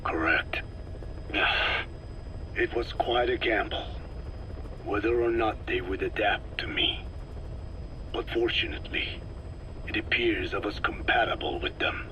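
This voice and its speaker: Game role: century-old scholar, whispery and low, formal, measured storyteller, on noisy tape. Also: male voice, bright, forceful tone, muffled recording. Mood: measured, wistful. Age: older. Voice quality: breathy, whispery, aged voice. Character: ancient soft-spoken scientist kept alive by parasites. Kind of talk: dramatic video game dialogue. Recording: noisy cassette tape with vehicle-like rumble.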